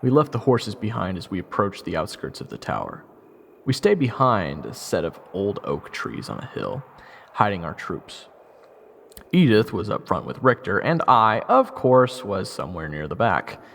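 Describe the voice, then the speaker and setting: A man's voice: lowish voice, big pitch swings, normal speed, creaky, fairly bright tone, a little hiss or hum, full character voice. A man playing an eager but nervous young soldier who narrates his fantasy adventure with wry self-deprecation. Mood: wry narrating. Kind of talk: first-person fantasy audio drama narration. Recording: orchestral game music behind.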